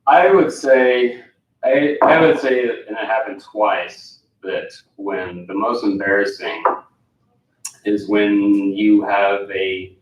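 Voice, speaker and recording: A man's voice: fairly low pitch, fairly even intonation, slow, highs cut off, slight background noise. A man answering questions as a guest in a casual chat, hesitant and restarting his sentences. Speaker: guest, insurance manager. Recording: echoey room, mic far off.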